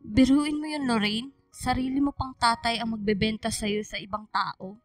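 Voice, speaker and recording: A woman's voice: on the high side, fairly even intonation, normal speed, no room sound, clean studio sound, soft background music, close to an everyday voice. A woman playing a troubled, stubborn young student daughter in a radio drama, plaintive and emotional, with a Filipino accent. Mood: upset.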